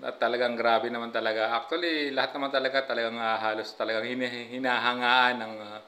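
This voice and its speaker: Bright-voiced man chatting to viewers. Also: medium pitch, steady tone, fast talker. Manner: chatty, frequent filler "no, guys?". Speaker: reaction vlogger. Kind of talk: casual commentary over a clip.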